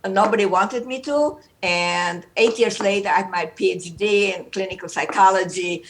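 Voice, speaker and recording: An older woman gives a spirited, unscripted talk. She rambles playfully and circles back to repeat herself, speaking at a middling pitch. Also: normal speed. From Romania. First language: German. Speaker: guest speaker.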